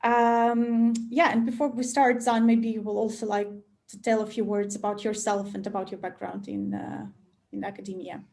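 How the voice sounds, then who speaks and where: A woman's voice: mid-range voice, little pitch movement, moderate pace, a little mellow. A woman hosting a webinar discussion, speaking hesitantly with many fillers. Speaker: webinar host.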